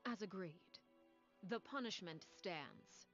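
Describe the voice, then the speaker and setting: An actress playing a stern, composed leader of a secret household, speaking formally with measured, cool authority in a dramatic video game. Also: female voice, medium pitch, animated, a bit bright, dull audio. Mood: cold, measured. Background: music.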